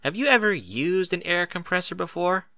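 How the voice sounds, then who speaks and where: A man's voice: high-pitched, lively intonation, moderate pace, pushed and bright, dry recording, pitched above the performer's own voice, full character voice. A man voicing a studious female pony, helpful and a wry know-it-all, speaking in a precise, bookish way.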